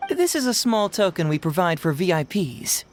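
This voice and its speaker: A man's voice: high-pitched, very animated, normal speed, a little hiss or hum. A man voicing a sly, scheming young detective in a video game, persuasive and coaxing.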